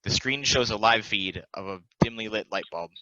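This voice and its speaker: A man's voice: lowish voice, moderate pace, sharp tone, dry recording, muffled recording. A man reading out stage directions as scripted narration for a play.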